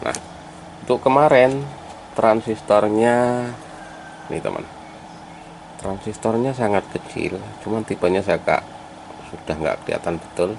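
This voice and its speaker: Low, slow, gravelly man giving a tutorial. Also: animated, a little mellow. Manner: step-by-step, halting as he works, addressing viewers as friends. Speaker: electronics repair hobbyist presenting a tutorial. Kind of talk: informal hands-on tutorial narration. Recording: clearly noisy amateur recording.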